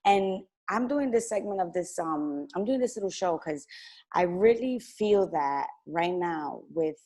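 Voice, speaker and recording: Lower-voiced woman hosting an interview. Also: lively intonation, measured pace, dark tone. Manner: enthusiastic, rambling questions. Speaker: host.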